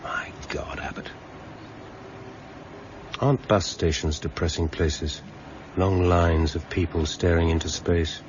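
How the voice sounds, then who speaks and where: An actor playing a weary, sardonic, impatient police superintendent with an English accent in a radio crime drama. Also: male voice, low-pitched, steady tone, slow pace, long pauses. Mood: dry, brisk. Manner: dry, sarcastic, curt. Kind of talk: 1990s British radio drama acting. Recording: dull, noisy archive radio recording.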